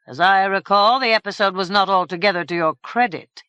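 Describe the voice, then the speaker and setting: A woman voicing a stately, grave fairy king who speaks in a measured, formal way. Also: medium pitch, fairly bright tone, smooth, no echo, lo-fi sound, voice pushed up, close to an everyday voice. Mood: stern.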